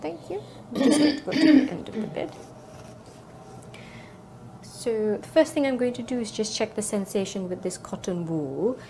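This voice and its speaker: Creaky, higher-pitched young woman clinician. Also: moderate pace, crowd noise. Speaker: clinician examining a patient. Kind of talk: instructional clinical exam talk. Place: small indoor clinic room. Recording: clear background room noise.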